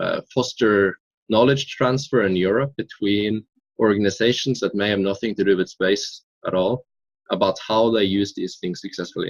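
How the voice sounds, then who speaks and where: A young man in his twenties from Norway explains his work in a casual interview, his low voice earnest and thoughtful. He often stops on small hesitations. The recording is dry and slightly dull. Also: no room sound. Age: 20s. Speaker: interview guest.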